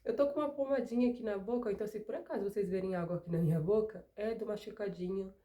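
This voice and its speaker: A woman's voice: medium pitch, fast talker, dark tone. A middle-aged Brazilian woman hosting her craft channel, chatting casually to camera while she works, still recovering from a cold, with digressive rhetorical asides. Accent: Brazilian Portuguese. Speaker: craft studio owner and channel host.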